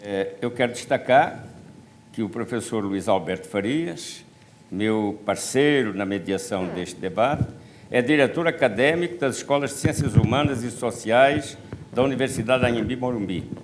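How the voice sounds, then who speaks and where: An older man with a Brazilian Portuguese accent, co-moderating a panel with a deliberate, rhetorical speaking style. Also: medium pitch, lively intonation, a little hiss or hum. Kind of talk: formal speech and questioning.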